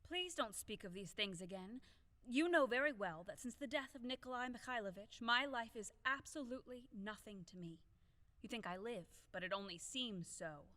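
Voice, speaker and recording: An actress playing a proud, grieving young widow in an audio play, theatrical and lamenting. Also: female voice, on the high side, lively intonation, normal speed, bright, forceful tone. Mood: mournful. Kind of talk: audio play acting of a classic stage comedy.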